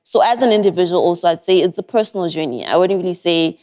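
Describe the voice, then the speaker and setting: Young woman w an american-sounding accent, kinda low voice, thoughtful and earnest, repeats her points for emphasis. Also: fast, a little mellow. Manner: reflective, earnest advice with emphatic repetition. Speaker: guest. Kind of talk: podcast interview.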